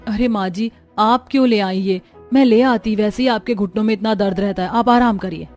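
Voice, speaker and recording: A woman playing a harried, caring young housewife and mother in an animated family story. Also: mid-range voice, normal speed, lightly acted. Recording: background music under the voice.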